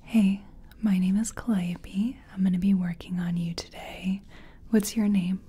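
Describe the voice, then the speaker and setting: A woman speaking in a breathy whisper and soft tones, gently chatting in an ASMR personal-attention roleplay as a makeup artist. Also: mid-range voice, fairly even intonation, measured pace, slight background noise.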